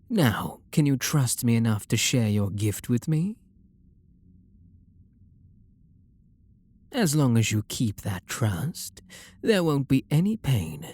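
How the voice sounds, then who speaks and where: A man acts out a refined British vampire lord, tender and possessive toward a lover. The voice is husky and slow, with lively swoops in pitch as it slips toward whispers. It plays as intimate, seductive ASMR roleplay. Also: exaggerated voice. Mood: tender, coaxing. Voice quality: breathy, gravelly. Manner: soft, slow, seductive murmur with breathy whispers.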